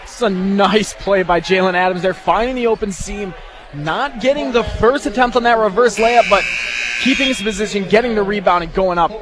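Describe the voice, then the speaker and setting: High-voiced man, color commentator. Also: normal speed, crowd noise, background noise. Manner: conversational, explanatory. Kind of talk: live radio sports analysis.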